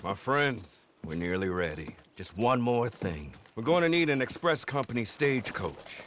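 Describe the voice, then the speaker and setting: An actor playing a determined American outlaw organiser in a western video game, plain-spoken and giving instructions. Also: male voice, mid-range voice, sing-song, normal speed, fairly bright tone, slight background noise. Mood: businesslike. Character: rugged, determined Old West outlaw gang organiser.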